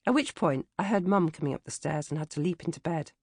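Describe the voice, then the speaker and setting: A British woman reading comic first-person audiobook narration in a droll, expressive manner. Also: low voice, fast, slightly rough, no room sound, clean studio sound. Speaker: author reading own audiobook.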